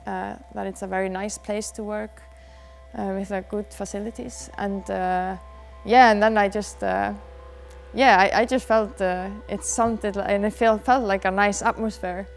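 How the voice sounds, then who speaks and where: A soft-spoken Icelandic dancer in her thirties, answering interview questions thoughtfully with many pauses, in a dark dance studio. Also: mid-range voice, fairly even intonation, creaky, soft background music. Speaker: dancer and choreographer.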